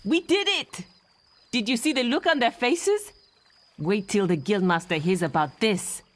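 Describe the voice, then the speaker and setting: A woman's voice: mid-range voice, unhurried, natural voice. An actress playing a cocky, competitive young female apprentice warrior with a brash, teasing manner in a fantasy video game. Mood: excited.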